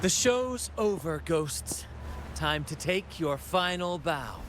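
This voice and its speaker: Slow, high-voiced, cocky swashbuckler. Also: male voice, animated, background noise. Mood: showy menace. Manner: theatrical, unhurried, smug. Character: suave, theatrical, cocky male swashbuckling captain. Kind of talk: scripted video game voice acting.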